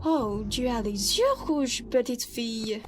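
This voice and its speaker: A woman's voice: fairly high pitch, slow pace, music in the background. A young woman playing a grand, eccentric, playful older aunt in a student radio play. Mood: mock-conspiratorial. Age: young adult. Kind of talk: theatrical radio-play acting.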